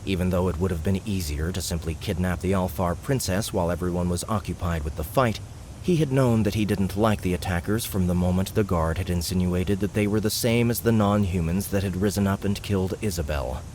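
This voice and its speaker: A man's voice: low voice, brisk, a little mellow, slight background noise. A man narrating a fantasy audiobook, reading from a script as storyteller.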